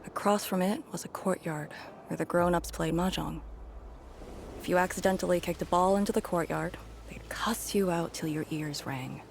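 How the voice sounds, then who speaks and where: A woman voicing a grave, resolute veteran leader in a video game, speaking in a measured, solemn way. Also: fairly low pitch, vocal fry, a little hiss or hum. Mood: wistful. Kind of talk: scripted video game dialogue.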